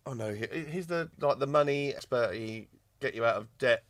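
A man's voice: medium pitch, moderate pace. A man co-hosting a podcast in casual chat, rambling and correcting himself as he reads out a guest bio. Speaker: podcast co-host.

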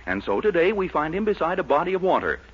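A man's voice: fairly high pitch, big pitch swings, fast, husky. An American man announcing a 1940s radio show in a polished, emphatic scripted style. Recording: muffled 1940s radio recording, music behind.

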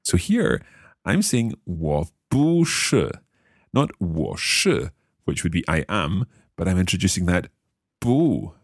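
Man from Scotland, very low voice, lively pitch, slow careful lesson talk, dry close sound. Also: no room sound. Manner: slow, careful, repeats phrases aloud. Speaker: language learner and podcast host. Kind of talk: podcast language lesson.

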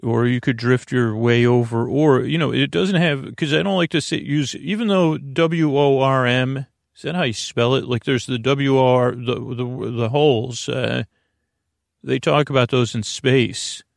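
A man hosting a sleep podcast, with a gravelly voice and a halting, meandering delivery that stammers, repeats words and trails off. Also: mid-range voice, fairly even intonation, no echo, clean studio sound. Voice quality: gravelly, creaky. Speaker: host of a bedtime sleep podcast. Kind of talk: rambling bedtime monologue.

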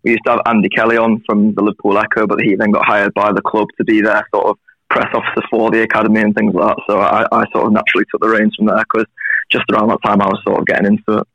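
A man in his twenties, a guest on a call, answering quickly and hedging with "sort of". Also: fairly low pitch, flat delivery, no echo. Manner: quick, hedging with 'sort of'. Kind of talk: casual interview answers. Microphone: phone or call. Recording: dull call audio.

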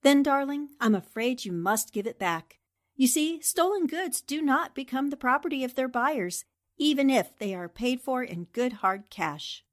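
Sharp lady columnist, played by a woman, 1940s radio drama: highish, very lively pitch, theatrical, knowing, teasing. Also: moderate pace. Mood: firm, patronizing. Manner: theatrical, condescending endearments. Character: shrewd, worldly, teasing newspaperwoman columnist.

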